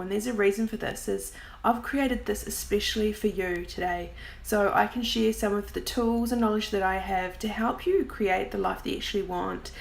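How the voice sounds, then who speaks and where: A woman's voice: medium pitch, creaky. A woman in her forties with a New Zealand accent, a wellness coach talking straight to the viewer in an encouraging, chatty way. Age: 40s. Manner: warm, encouraging, chatty with frequent "like" and "um". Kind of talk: direct-to-camera chat.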